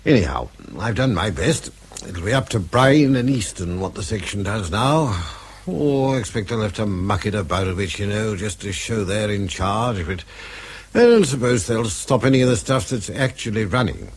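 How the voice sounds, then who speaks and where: An avuncular old British man speaks in a lowish, husky voice. He is reassuring and genial, with lively rises and falls, in the style of radio drama. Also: moderate pace. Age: older. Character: kindly, avuncular old outgoing section chief.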